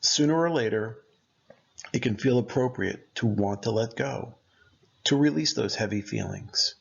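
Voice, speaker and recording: A man narrating a guided meditation as its guide, speaking in gentle, deliberate short phrases. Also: medium pitch, vocal fry, dull audio.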